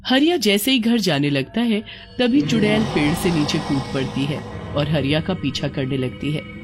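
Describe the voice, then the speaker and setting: A woman's voice: sits low, lively intonation, normal speed, over music. A woman storyteller reading a scripted tale as narrator.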